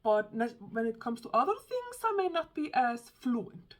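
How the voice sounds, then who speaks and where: A woman's voice: on the high side, very animated, moderate pace. Woman with an Icelandic accent, hesitant. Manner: thoughtful, hesitant, many fillers and restarts. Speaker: book vlogger. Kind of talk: casual chat to camera.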